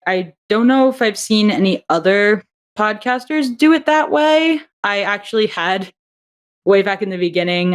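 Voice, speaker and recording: Chatty woman who laughs easily. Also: lowish voice. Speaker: audio drama writer and director. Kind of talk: casual interview chat.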